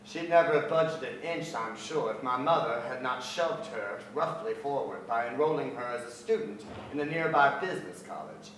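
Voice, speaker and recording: A man's voice: medium pitch, animated, moderate pace, few pauses, a bit bright, slight background noise, close to an everyday voice. Middle-aged guy as a reflective poet narrator in a stage reading, wry, super echoey theatre, a little distant. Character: reflective young poet son narrating family memories. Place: large theatre stage, music stand, indoors.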